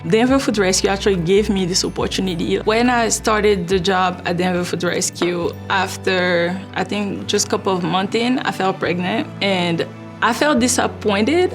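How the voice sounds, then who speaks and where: A young adult woman from Ivory Coast, a native French speaker with a West African French accent, talking reflectively in a documentary interview, often using fillers like "like" and "you know.". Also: medium pitch. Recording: faint music behind.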